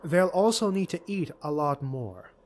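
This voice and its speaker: Man voicing a sharp female warrior; pointed and doubtful, raised pitch. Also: animated, a little slow, slight background noise. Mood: skeptical. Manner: pointed, skeptical. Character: sharp-witted female elf warrior, skeptical.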